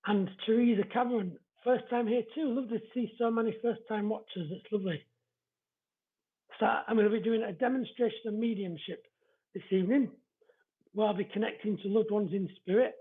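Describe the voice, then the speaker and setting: High-voiced Yorkshire man, welcoming and chatty. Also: steady tone, fairly quick. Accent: East Yorkshire. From East Yorkshire, England. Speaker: spiritual medium hosting a live show. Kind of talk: casual livestream chat greeting viewers. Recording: dull, lo-fi livestream audio.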